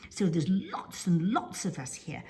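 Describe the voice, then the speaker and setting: A woman's voice: lowish voice, sing-song, a little slow, warm tone. A woman voicing a friendly, helpful little duck in a children's story. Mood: friendly, inviting.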